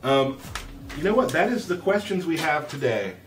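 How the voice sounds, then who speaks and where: A man hosting a casual live question-and-answer chat in an easygoing, joking, deadpan way. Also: on the high side, normal speed, recorded from a distance, faint music behind. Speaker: livestream host from a game studio. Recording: faint background noise.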